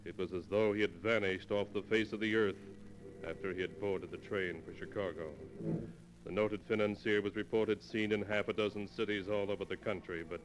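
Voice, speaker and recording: An actor playing a solemn, ominous narrator, measured and dramatic, in a 1940s radio horror series. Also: male voice, fairly low pitch, steady tone, background noise, lightly acted. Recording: old golden age radio recording. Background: prominent music.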